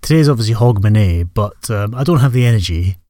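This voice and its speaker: A middle-aged Scottish man hosting a music show in casual chat, his voice a little croaky, talking in a relaxed, hesitant way. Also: on the low side, brisk, dry recording, studio quality. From Scotland. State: slightly croaky voice. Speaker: radio show host.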